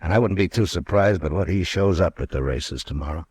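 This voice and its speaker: An actor playing a calm, firm frontier marshal in a 1950s radio drama. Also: male voice, lightly acted. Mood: steady. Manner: measured, firm, low-key.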